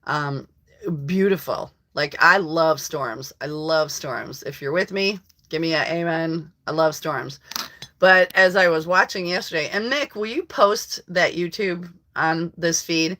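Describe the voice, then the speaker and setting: Hoarse, bright-voiced woman live-streaming. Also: low voice, a little slow. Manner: chatty, rambling, laughs often. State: hoarse from allergies. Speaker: livestream host. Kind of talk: casual live-stream chat. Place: rural home.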